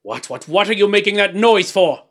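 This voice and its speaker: A bullying storybook king, roars and mocks in a raised, wildly swooping voice. He booms his orders impatiently and theatrically, with a tyrant's relish. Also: sing-song, unhurried, a bit bright. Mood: indignant. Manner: roaring, booming commands and forced laughter. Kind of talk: theatrical storybook character acting.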